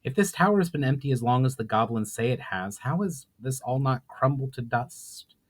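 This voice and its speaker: A man's voice: medium pitch, lively intonation, moderate pace, lightly acted. A man playing a curious, forthright male elf hero in a dramatic fantasy audiobook, speaking with emphatic, questioning delivery. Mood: puzzled. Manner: emphatic, inquisitive.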